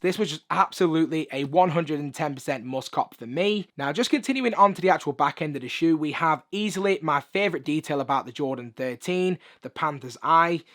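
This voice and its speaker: A hoarse man, chatting upbeat through a sneaker review in a room full of shoes. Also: fairly high pitch. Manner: chatty, upbeat, lots of filler phrases. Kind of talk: casual video review. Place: room with shoe collection.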